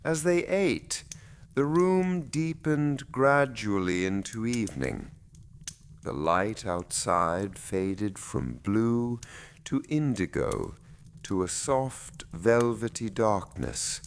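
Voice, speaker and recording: A man narrating a bedtime story in a soothing, gentle storyteller's way, with phrases that fall softly at the ends. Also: fairly low pitch, animated, takes it slowly, smooth.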